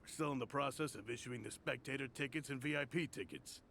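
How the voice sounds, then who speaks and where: Polite military knight: mid-pitched, crisp-toned and bright, some fry, formal game delivery. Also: male voice, fast talker, a little hiss or hum. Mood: formal, welcoming. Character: courteous, formal male knight officer. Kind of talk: video game voice acting.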